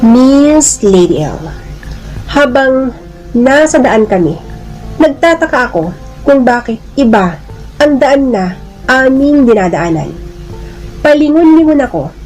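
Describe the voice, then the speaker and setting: A woman with a fairly high voice tells a dramatic first-person story. She delivers it in a deliberate, emotive way, as in a serial drama. Music plays behind her. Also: sing-song, a little mellow, poor audio. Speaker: narrator of a listener's letter drama.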